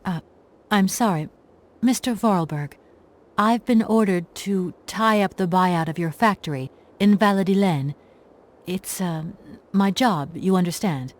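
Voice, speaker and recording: A woman's voice: lowish voice, unhurried, natural voice. An actress playing an earnest young professional woman, courteous and businesslike, in a video game.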